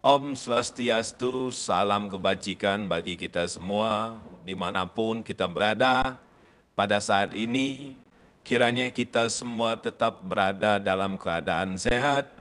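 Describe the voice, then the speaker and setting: A man's voice: on the low side, a bit bright. A man hosting a church channel, giving formal greetings and blessings before a testimony video. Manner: slow, solemn greetings and blessings. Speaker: church channel host and reporter. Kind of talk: formal scripted introduction.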